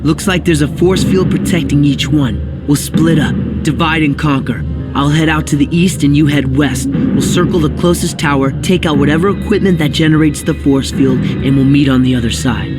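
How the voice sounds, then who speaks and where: A cocky teenage tough guy played by a man with a fairly high voice and a dry, sarcastic delivery. He sounds casual, in animated game style, with loud music behind him. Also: moderate pace, lightly acted. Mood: businesslike. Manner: wry, casual. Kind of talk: animated video game voice acting.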